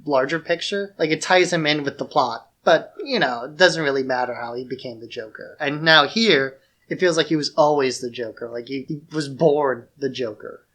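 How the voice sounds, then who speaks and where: A man's voice: mid-range voice, moderate pace, a bit bright. A man co-hosting a podcast, talking through films in a casual, conversational style. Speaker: podcast co-host. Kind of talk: casual film discussion.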